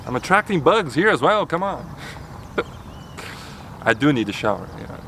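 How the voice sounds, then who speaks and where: A man's voice: on the high side, big pitch swings, a little slow, pushed and bright, slight background noise. A man talking casually in a vlog outdoors, rambling and restarting his sentences with chuckles. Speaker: vlogger. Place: outdoors, near a road.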